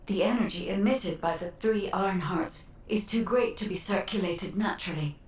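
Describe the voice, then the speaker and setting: An actress playing a calm, grave guide in a video game, speaking in a formal, deliberate, solemn way. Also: female voice, medium pitch, close to an everyday voice. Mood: grave, cautioning. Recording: roomy, slightly distant sound.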